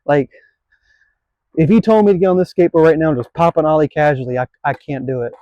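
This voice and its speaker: A man's voice: on the high side, lively intonation, quick pace, warm tone, no room sound. Rambling self-deprecating young man, lo-fi. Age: 20s. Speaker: skateboarding vlogger. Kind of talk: casual self-filmed vlog commentary.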